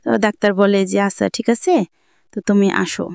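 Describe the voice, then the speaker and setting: A young woman speaks in colloquial Dhaka-area Bengali with a smooth, clear voice at a middle pitch. She tells her own story plainly, circling back and repeating herself. The sound is close and dry. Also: fairly even intonation, moderate pace, darkish tone, no room sound. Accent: Bangladeshi Bengali, colloquial Dhaka-area dialect. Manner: plain-spoken, repetitive, rambling. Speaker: guest telling own life story.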